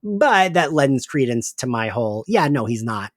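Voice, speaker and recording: A man's voice: mid-range voice, big pitch swings, normal speed, dry recording. Dry-sounding, informal male podcast host. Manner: informal, acts out paraphrased dialogue. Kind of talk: casual episode recap chat.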